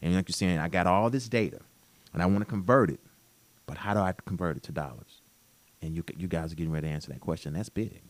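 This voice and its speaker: Male guest, very low pitch, keen and emphatic, dry studio mic. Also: quick pace, no echo. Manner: enthusiastic, emphatic, stammers at starts. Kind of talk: casual interview chat.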